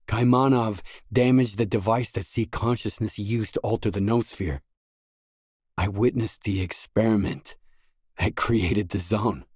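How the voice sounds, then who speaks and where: An actor playing a determined, brooding stalker in a grim video game, with a measured, serious, understated delivery. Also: male voice, a little mellow, no echo, studio quality. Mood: grave. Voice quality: low, husky. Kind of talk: game voice acting, isolated dialogue lines.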